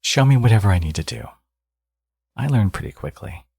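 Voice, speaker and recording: A man playing a smug, teasing supervillain and doting new father in an intimate ASMR audio roleplay, murmuring playfully and cockily in a breathy, gravelly voice. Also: lowish voice, expressive, fairly quick, dark tone, no echo, full character voice. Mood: teasing, reassuring. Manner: playful, cocky, murmuring to a lover.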